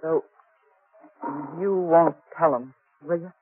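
A woman's voice: low voice, slow pace, slightly rough, exaggerated voice. Curt, guarded frontier woman, old radio. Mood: anxious, defensive. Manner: curt, defensive, folksy. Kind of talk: 1950s radio western acting. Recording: dull, dry old 1950s radio recording.

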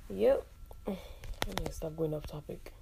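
A young woman from Nigeria, hosting a casual solo podcast, chatty and animated, with frequent laughs and fillers. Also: deep voice, takes it slowly, vocal fry, a little hiss or hum, rough recording. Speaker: podcast host.

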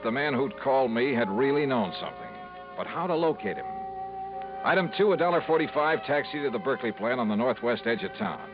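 A dull, vintage recording of a hardboiled investigator, played by a male actor. His voice is mid-pitched, wry and clipped, in old radio detective style, with music clearly in the mix. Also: natural voice. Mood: wry, businesslike. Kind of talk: 1950s radio detective drama acting and narration.

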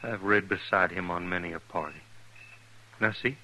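An actor playing a fatalistic, plain-spoken frontier scout held prisoner in a 1950s radio western. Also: male voice, deep voice, moderate pace, pushed and bright. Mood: resigned, calm. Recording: noisy vintage radio drama recording.

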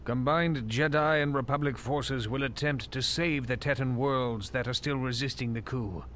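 An actor playing a grave, wise Jedi master in a space-fantasy audio drama, solemn, measured and authoritative. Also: male voice, medium pitch, fairly bright tone, slight background noise, lightly acted.

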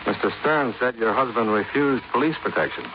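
An American actor playing a brisk, wry insurance investigator in 1950s radio drama style, clipped and dry. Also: male voice, mid-range voice, slight background noise, close to an everyday voice. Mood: probing, wry. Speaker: lead actor and narrator. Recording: dull 1950s radio recording, music.

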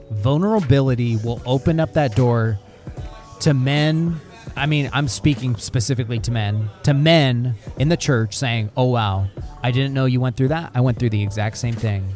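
A man with a mid-pitched voice talks earnestly and reflectively while co-hosting a podcast. His casual chat has faint music playing behind it. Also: darkish tone. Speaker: podcast co-host.

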